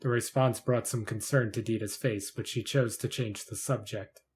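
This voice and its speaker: A man's voice: on the low side, fairly even intonation, normal speed, a little mellow. A man reading scripted narration for a fantasy short story in the third person.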